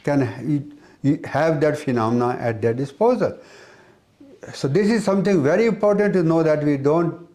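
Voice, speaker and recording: A man giving an explanatory spiritual talk as an interviewed teacher, with halting, repetitive phrasing. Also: on the high side, mellow.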